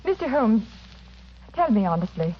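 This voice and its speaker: Anxious, resolute wife, highish voice. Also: female voice, big pitch swings, moderate pace. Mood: anxious, insistent. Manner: formal, earnest. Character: anxious but brave, resolute gentleman's wife. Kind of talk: vintage radio drama acting. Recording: muffled, faintly noisy radio recording.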